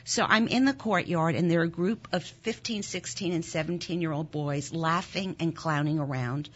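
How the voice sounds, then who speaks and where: A woman in her sixties, born in Germany with German as her first language, speaks low and earnestly as an interview guest. Her telling is intense and climbs high when the feeling takes over. The sound is a little dull and muffled. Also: normal speed. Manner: earnest, intense, rises sharply when moved. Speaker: interview guest, nonprofit founder. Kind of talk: emotional personal storytelling in an interview. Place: Philadelphia.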